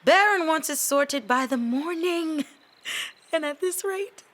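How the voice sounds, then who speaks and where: A woman's voice: high voice, animated, slow, a bit bright, exaggerated voice. An actress playing a brash, cheeky working-class woman mechanic in an audio drama, teasing and slangy. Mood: grumbling.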